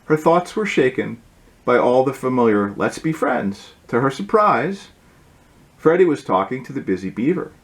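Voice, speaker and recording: A man reading his own storybook aloud as its author, in an informal way with occasional halting asides. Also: mid-range voice, sing-song, low-quality recording.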